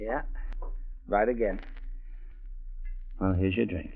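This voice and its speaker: Tinny-sounding breezy radio crook. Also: male voice, on the low side, slow, gravelly, slightly rough, heavily acted. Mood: breezy, flirtatious. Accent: American. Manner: slangy, chuckling, familiar. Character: breezy slangy male crook, smug, flirtatious partner. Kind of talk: 1940s radio crime drama acting. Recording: tinny narrow-band vintage radio recording.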